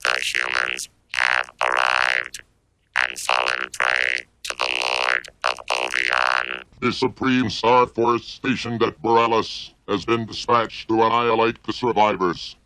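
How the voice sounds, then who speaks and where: A man's voice: very deep voice, fairly even intonation, takes it slowly, sharp tone, smooth, dry recording, pitched below the performer's own voice, exaggerated voice, rough recording. A man voicing a menacing, obedient robotic alien soldier, formal and commanding, through a buzzing synthetic vocoder effect. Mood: cold, obedient.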